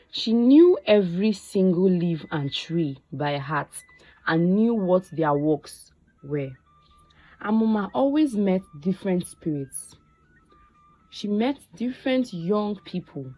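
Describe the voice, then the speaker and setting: A woman narrating a scripted folktale in a measured, deliberate way, phrase by phrase. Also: fairly low pitch, mellow, husky, low-quality recording. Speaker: storyteller.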